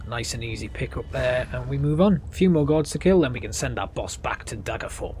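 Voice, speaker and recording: A man's voice: mid-range voice, expressive, fairly quick, darkish tone, a little hiss or hum. A man giving casual commentary as he plays through a video game. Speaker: gamer narrating a playthrough.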